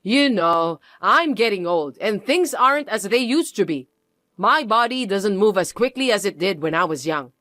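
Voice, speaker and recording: A voice actor playing a selfish, demanding older mother-in-law who talks in a shrill, indignant way. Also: expressive, moderate pace, a bit bright, dry recording, clean studio sound, lightly acted. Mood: wheedling. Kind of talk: dramatized story reading.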